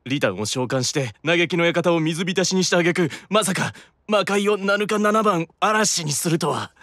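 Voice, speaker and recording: A man's voice: high voice, moderate pace, a bit bright, no echo, clean studio sound. A man playing a proud, earnest, worn-out demon brother with a stiff, dramatic delivery in a Japanese anime-style audio drama. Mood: apologetic.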